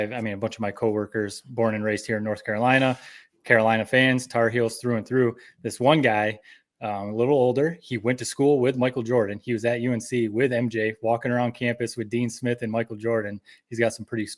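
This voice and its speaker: Easygoing conversational American man, medium pitch. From mid-Michigan, United States. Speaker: podcast co-host. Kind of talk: casual interview chat.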